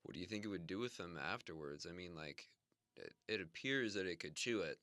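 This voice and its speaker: A man's voice: sits low, animated, a little fast, pushed and bright, no room sound, studio quality. A man improvising comedy banter, asking curious, playful questions.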